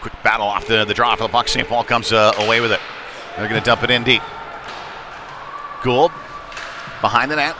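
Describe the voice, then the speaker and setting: A man giving live play-by-play commentary on ice hockey in a halting way, with frequent pauses. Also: medium pitch, steady tone. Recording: noisy live rink broadcast.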